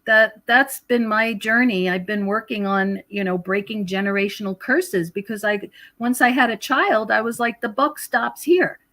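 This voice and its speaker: A woman's voice: mid-range voice, normal speed, few pauses, a bit bright. A middle-aged or older woman speaking as an interview guest, explaining spiritual teachings in an earnest, conversational way. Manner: earnest, explanatory, quotes scripture. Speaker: podcast guest, author and researcher.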